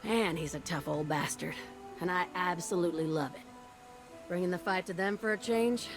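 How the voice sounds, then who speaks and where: Folksy, low-voiced woman fighter pilot, played by an actress. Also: lightly acted. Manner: folksy, informal, plain-spoken. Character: tough warm-hearted female fighter pilot, folksy and plain-spoken. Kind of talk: video game character dialogue.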